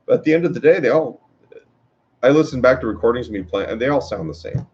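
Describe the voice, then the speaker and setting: A man teaching guitar in a casual workshop talk, with a low voice and a rambling way of speaking, full of fillers and restarts. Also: expressive, fast talker, a little mellow. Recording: dull lo-fi sound.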